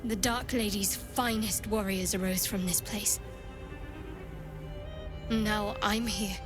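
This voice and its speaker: A woman's voice: mid-range voice, steady tone, slow, over music, natural voice. An actress playing a guarded, devout young female cleric in a video game, solemn and determined. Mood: reverent, determined. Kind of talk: dramatic video game acting.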